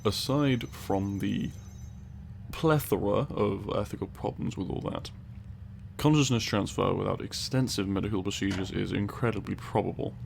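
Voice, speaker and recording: A man playing a low, gravelly, exasperated scientist. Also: moderate pace, slight background noise. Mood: intrigued, analytical. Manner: dry, precise, condescending, wordy. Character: tiny male scientist Frederick, stern, exasperated, sarcastic, pedantic. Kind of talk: scripted comedic audio roleplay.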